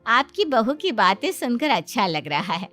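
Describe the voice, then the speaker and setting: A woman's voice: fairly high pitch, very animated, normal speed, pushed and bright, faint music behind. A woman voicing a friendly, encouraging lady doctor chatting with a family in an acted comedy story. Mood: pleased, advising.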